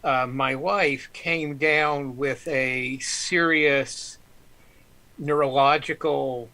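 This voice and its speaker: Bright-voiced man telling stories. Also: mid-range voice, steady tone, drawn-out words. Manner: rambling, many ums and restarts. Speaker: author guest. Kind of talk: casual storytelling on a live stream.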